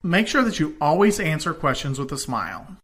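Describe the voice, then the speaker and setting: A man with a fairly high, very bright and forward voice delivers scripted instructional narration. He speaks deliberately, in measured phrases, putting clear stress on the words that introduce each new point. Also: very animated. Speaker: instructor in a home-selling course.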